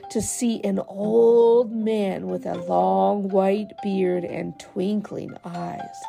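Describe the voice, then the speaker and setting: Bright-voiced woman narrating a children's folk tale. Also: mid-range voice, gravelly. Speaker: storyteller.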